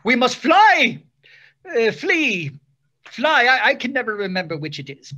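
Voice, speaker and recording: A woman's voice: on the high side, big pitch swings, unhurried, sharp tone, exaggerated voice. A woman playing a lovestruck, foolish male stage hero with overblown theatrical delivery in an old-style radio play. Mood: melodramatic. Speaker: actor. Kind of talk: 1930s radio acting.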